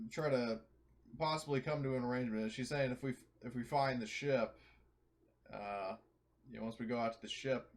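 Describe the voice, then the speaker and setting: A man playing a tabletop roleplaying game, talking casually in a halting, conversational way. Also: lowish voice.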